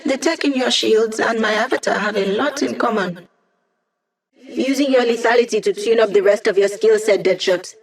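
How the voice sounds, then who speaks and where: A woman's voice: a little high, moderate pace, close to an everyday voice. An actress playing a young female hacker and tech ally in a video game, upbeat, casual and playfully sardonic. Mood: confident, instructive.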